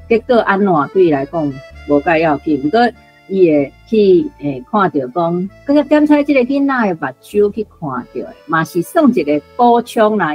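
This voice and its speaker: A woman's voice: lowish voice, breathy edge, muffled recording. A woman with a Taiwanese accent giving unscripted, explanatory commentary on literature as part of a radio programme. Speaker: radio programme guest or presenter discussing literature.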